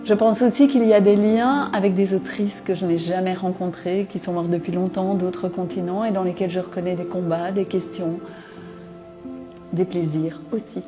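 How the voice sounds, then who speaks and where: A woman's voice: lowish voice, steady tone, normal speed. Earnest woman writer over music. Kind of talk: reflective interview testimony.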